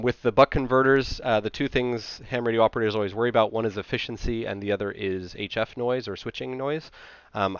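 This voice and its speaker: A man with an American accent and a lowish, clean voice explains technical material as a presenter. His delivery is informal and conversational. The recording is dry and close, with a slightly dulled top end. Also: clean tone, no room sound, highs cut off. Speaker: presenter, amateur radio hobbyist.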